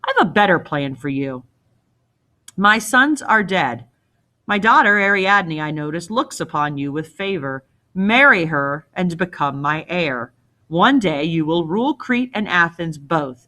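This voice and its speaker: A woman's voice: fairly low pitch, expressive, unhurried, bright, forceful tone. A woman voicing a proud old king in a storybook read-aloud, scornful and commanding, often mocking with pointed questions. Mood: mocking, persuasive. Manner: imperious, mocking, probing with questions.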